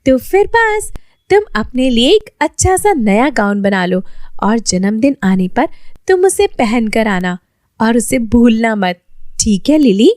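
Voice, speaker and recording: A woman's voice: on the high side, lively intonation, unhurried, darkish tone, no room sound, studio quality. A woman voicing a friendly, generous young girl who coaxes her friend eagerly in a children's story. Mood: eager, insistent.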